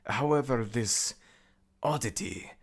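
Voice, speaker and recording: A man's voice: medium pitch, animated, slow pace, vocal fry, husky, voice pushed up, heavily acted. A man voicing a deferential, anxious servant of a god in a scripted audio roleplay, speaking formally and earnestly. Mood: urgent, deferential.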